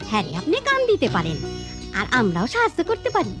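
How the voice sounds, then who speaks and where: A woman's voice: a little high, wildly swooping pitch, fast talker, over music. A woman playing a practical, helpful village matron in a cartoon, with a rural Bangladeshi accent. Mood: practical, agreeable. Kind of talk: cartoon voice acting.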